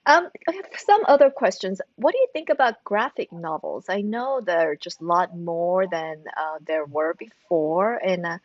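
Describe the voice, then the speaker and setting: Female interviewer, medium pitch, casual parent-to-expert questioning. Dull, close-sounding recording without room echo. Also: lively intonation, normal speed, hardly any pauses. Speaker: host, parent asking questions.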